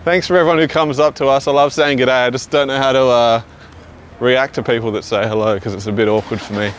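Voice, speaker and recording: A man's voice: medium pitch, fairly even intonation, fast talker. A young Australian man hosting a prank video, chatting casually outdoors at a petrol station, laughing often and swearing freely. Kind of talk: casual prank vlog chat. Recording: outdoor street noise.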